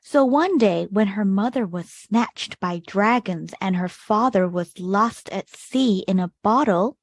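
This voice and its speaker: A woman's voice: mid-range voice, no echo. A woman reading a picture book aloud to children as a storytime host, leaning on key words as she tells it. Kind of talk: expressive picture-book reading with short asides to young listeners.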